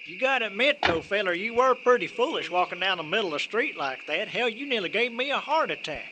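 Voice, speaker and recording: High-pitched, flustered country trucker. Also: male voice, quick pace, background noise. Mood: apologetic, flustered. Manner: stammering, folksy, excitable. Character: flustered, folksy rural truck driver, easily spooked. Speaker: actor in radio drama. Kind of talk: old-time radio horror comedy acting.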